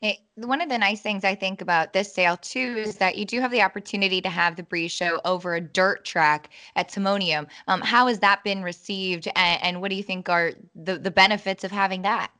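A tired young woman hosts a casual podcast, chatty and upbeat with plenty of ums. Her voice is bright and crisp, with a smooth, clean tone. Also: medium pitch, fairly even intonation, brisk, hardly any pauses. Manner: chatty, upbeat, with frequent fillers. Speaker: podcast host.

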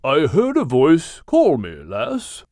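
A man doing a kindly old whale from a storybook, plummy and courteous, with lively, swooping inflection and an unhurried delivery. He sounds genteel and good-humoured, bobbing cheerfully between high and low notes. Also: no room sound, studio quality, heavily acted. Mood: calm. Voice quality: plummy, polite, old-fashioned. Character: genial old male whale, gentlemanly.

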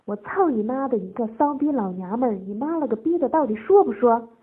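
A woman's voice: high-pitched, normal speed, narrow, tinny audio, pitched above the performer's own voice, lightly acted. A woman voicing a coarse, domineering male official who barks bullying, foul-mouthed orders in an audiobook. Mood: angry, aggressive.

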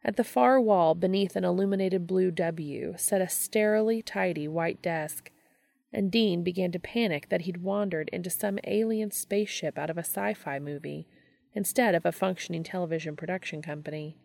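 Woman reading a story out loud, lots of fry in her voice, super dry sound. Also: lowish voice, moderate pace, no room sound. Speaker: reader of fan fiction audio episodes. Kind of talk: scripted narration, audiobook-style reading.

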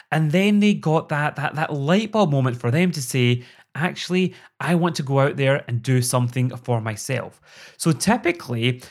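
Upbeat Scottish man hosting a podcast. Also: a little high. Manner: upbeat, earnest, motivational delivery. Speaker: podcast host, performance coach. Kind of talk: scripted podcast monologue.

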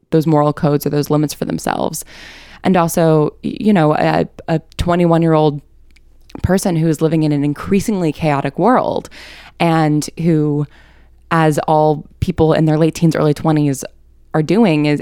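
A woman's voice: sits low, darkish tone, clean tone, dry recording, studio quality. A woman giving thoughtful, analytical answers as a writer being interviewed, explaining her ideas articulately.

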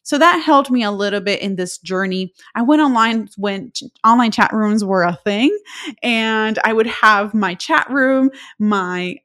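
A woman's voice: mid-range voice, measured pace, a bit bright. Puerto Rican woman hosting a podcast. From Puerto Rico. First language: Spanish. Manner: reflective, storytelling with personal anecdotes. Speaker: podcast host. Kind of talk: solo podcast monologue.

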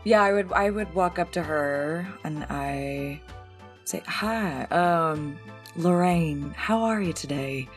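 A woman with a low voice plays in a tabletop roleplaying show. She talks casually and thinks aloud as she improvises, with music underneath. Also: slow. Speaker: player in an actual-play roleplaying show.

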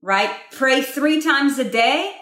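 A woman's voice: sits high, expressive, slow pace, fairly bright tone, roomy sound. A woman from Israel co-hosting a casual talk about spirituality, emphatic and repeating herself as she addresses listeners directly.

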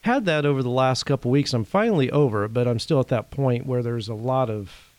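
A man from Indiana hosting a casual faith-based talk, his voice a bit rough from a recent cold, speaking earnestly and conversationally. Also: mid-range voice, fairly quick, a little mellow, no room sound. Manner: earnest, conversational, laughs now and then. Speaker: podcast host.

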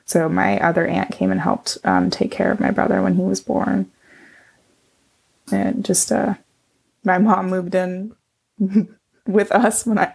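A woman's voice: mid-range voice, vocal fry, darkish tone, husky. A middle-aged woman, a scientist guest whose first language was Chinese, answering in casual conversation, hesitant with many ums and restarts.

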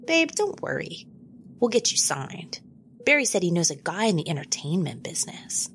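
Woman as an eager, pleading inmate, swooping pitch. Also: big pitch swings, moderate pace, fairly bright tone, slight background noise. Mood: apologetic, soothing. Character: pleading, devoted female prison inmate sweetheart. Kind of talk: comedy audio drama dialogue.

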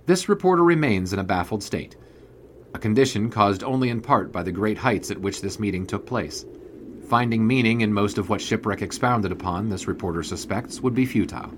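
An actor playing a wry, bemused 1920s newspaper sports writer, narrating in measured, formal and wordy prose for an audio drama. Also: male voice, fairly low pitch, noisy background, lightly acted. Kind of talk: scripted first-person narration in audio drama.

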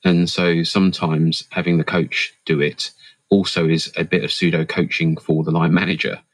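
A man answering interview questions as an HR leader and coach, thoughtful and measured with frequent fillers and restarts. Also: deep voice, level tone, no room sound.

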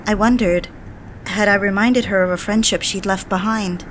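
A woman's voice: mid-range voice, fairly even intonation, moderate pace, slight background noise, lightly acted. An actress playing a genteel, eager young society woman in an audio drama, speaking and narrating with proper, polite diction. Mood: wistful, reflective. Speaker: lead character and narrator. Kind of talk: audio drama acting with first-person narration.

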